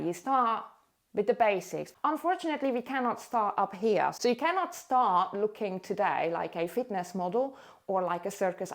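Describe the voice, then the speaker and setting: A woman's voice: mid-range voice, creaky. Upbeat woman coaching hula hoop fitness. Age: 40s. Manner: upbeat, encouraging, coaxing. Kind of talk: motivational talk to camera.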